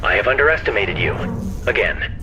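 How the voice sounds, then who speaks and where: Stern, formal robot ship officer, lively intonation. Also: male voice, lowish voice, fairly quick, noisy background. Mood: measured. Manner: formal, clipped, reproving. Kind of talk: science fiction audio drama acting.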